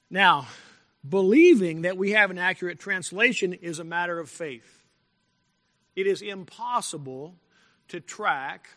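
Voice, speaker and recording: A male pastor preaching a sermon in a deliberate, emphatic way, repeating key phrases and clearing his throat now and then. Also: sits high, fairly bright tone. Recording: long echo from a large hall.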